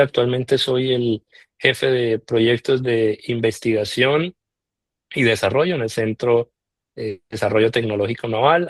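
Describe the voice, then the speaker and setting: A man from Colombia, answering interview questions in a measured way with frequent filler pauses. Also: medium pitch, dry recording. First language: Spanish. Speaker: interviewed scholarship alumnus.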